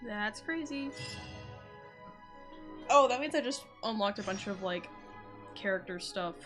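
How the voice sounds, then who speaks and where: A woman's voice: mid-range voice, lively intonation, unhurried, over music. A young woman streaming a game, chatting casually and rambling with fillers as she talks to her viewers. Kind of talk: casual gaming stream chat.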